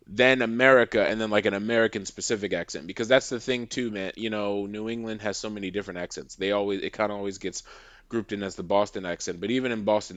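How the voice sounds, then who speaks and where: A man's voice: fairly low pitch, fairly bright tone, dry recording. A man from Massachusetts, a podcast guest, rambling with many restarts in casual chat.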